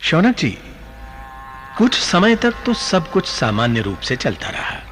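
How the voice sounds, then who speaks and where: An actor playing a learned sage who tells sacred legends in a deliberate, expressive radio drama manner. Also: male voice, a little high, full character voice. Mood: solemn narrating. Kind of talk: mythological radio drama storytelling. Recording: studio radio play with music behind.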